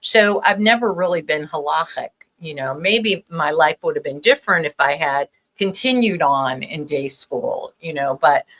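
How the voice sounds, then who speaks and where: A woman's voice: sits low, lively intonation, normal speed, hardly any pauses, fairly bright tone, low-quality recording. A middle-aged woman rabbi from Los Angeles whose first language was Hebrew, reflecting on her life with many ums. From Los Angeles, USA. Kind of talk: personal storytelling.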